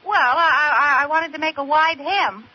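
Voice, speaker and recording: An actress playing a scatterbrained, stubborn young American housewife with comic theatrical delivery in 1940s radio comedy style. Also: female voice, sits high, moderate pace, close to an everyday voice. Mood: breezy. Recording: noisy, muffled old radio recording.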